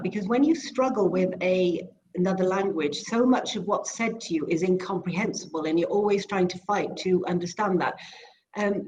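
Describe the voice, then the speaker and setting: A woman from Wales with a British accent, a speech therapist and behavior analyst, explaining her ideas as an interview guest in a measured, articulate way. Welsh is her first language. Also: medium pitch, a little mellow, a little hiss or hum, low-quality recording.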